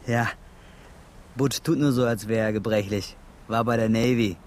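A man's voice: lowish voice, steady tone, normal speed, darkish tone, slight background noise, natural voice. An actor playing a brash, pushy young handyman in a German radio crime drama, chatty and coarse.

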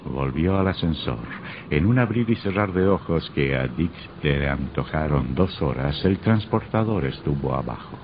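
A man's voice: very deep voice, lively intonation, moderate pace. A male actor narrating a vintage radio horror drama in a grave, ominous, scripted style. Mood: grave, suspenseful. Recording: muffled, very noisy old radio recording.

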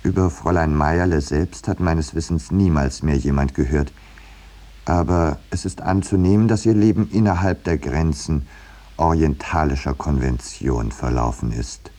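Deep, urbane, ironic painter. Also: male voice, moderate pace. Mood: wry narrating. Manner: polite, hesitant, deadpan. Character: urbane, dryly ironic male painter telling his tale. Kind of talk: 1950s German radio play acting and narration.

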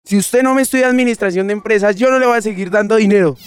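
A man acting a stern Colombian father in a radio drama. He talks very fast in a high voice, with a threatening manner. Music plays behind him. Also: lightly acted. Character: stern, threatening father withholding money from his son.

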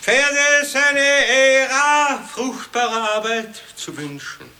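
A man playing a pompous government minister who speaks haltingly and stammers through ceremonial speech in a satirical radio drama. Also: extremely high pitch, bright, forceful tone, slight background noise, full character voice. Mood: pompous, flustered.

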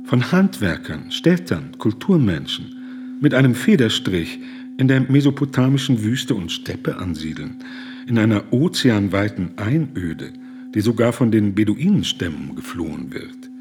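An actor playing an earnest, indignant German humanitarian, speaking formally, deliberately and with moral urgency in a radio play. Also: male voice, lowish voice, big pitch swings, music in the background. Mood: grave indignation.

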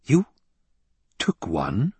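A man's voice: on the low side, huge pitch swings, drawn-out words, darkish tone, slightly rough, no echo, heavily acted. A man voicing a weary, bitter military commander in an audiobook, terse, sour and guarded. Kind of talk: audiobook character dialogue.

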